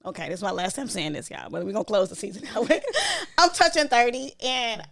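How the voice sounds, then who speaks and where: A woman in her late twenties hosts a casual podcast, talking animatedly and laughing often. Her voice has a gravelly, creaky texture. It sits right on a dry microphone with no room sound. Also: medium pitch, lively intonation, brisk, fairly bright tone, dry recording, soft background music. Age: late 20s. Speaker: podcast host. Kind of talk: casual chat.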